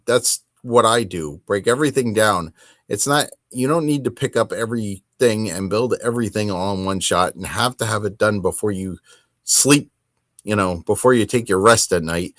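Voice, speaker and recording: A man's voice: on the low side, moderate pace, a bit bright, no echo, studio quality. A man hosting a casual solo hobby talk, conversational and emphatic, often correcting himself as he goes. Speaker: podcast host.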